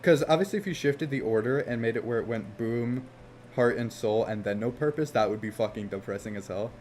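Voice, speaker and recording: A man casually chatting on a game development live stream, rambling and swearing easily, with a somewhat monotone voice. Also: medium pitch, brisk, slight background noise. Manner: rambling, laid-back, swears casually, frequent "like" fillers.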